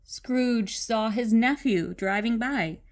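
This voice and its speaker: Slow-paced older woman reading a storybook. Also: fairly high pitch. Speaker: grandmother reading to children. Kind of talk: storybook read-aloud narration.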